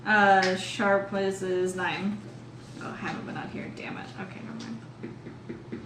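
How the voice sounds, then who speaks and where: A woman's voice: medium pitch, fairly even intonation, a little slow, far from the mic. A woman playing a tabletop game, chatting informally and swearing freely. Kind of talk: casual game chat. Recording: echoey room, faint noise.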